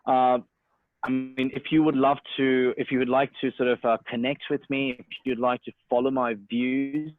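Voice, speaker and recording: A man's voice: mid-range voice, level tone, normal speed, no echo. A man in his thirties, born in India, speaking with an Australian accent as an interview guest, talking freely with many fillers and restarts. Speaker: interview guest, sales and marketing professional. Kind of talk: casual online interview. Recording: tinny phone-like call audio, dry.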